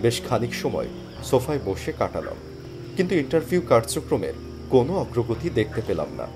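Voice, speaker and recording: A man's voice: medium pitch, sing-song, fairly quick. A broke tired job hunter telling his story, really swingy emotional voice, music under it. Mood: weary, reflective. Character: weary, penniless male job seeker narrating his story. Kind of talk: first-person dramatic story narration and character dialogue. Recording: music behind the voice. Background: background music.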